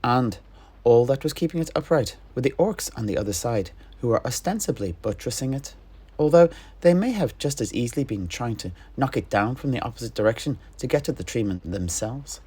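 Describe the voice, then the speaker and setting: A man narrating a fantasy battle tale in character, theatrically and with deliberate, dramatic pauses. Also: medium pitch, expressive, dark tone, husky. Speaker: storyteller guest in an in-character fantasy chat.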